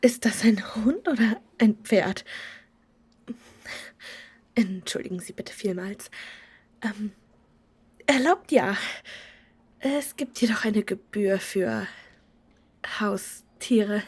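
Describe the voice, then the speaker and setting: An actress playing a polite, flustered innkeeper in an audio roleplay, speaking in a hesitant, courteous half-whisper. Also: female voice, medium pitch, slow pace, pauses often, breathy edge. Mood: flustered, startled.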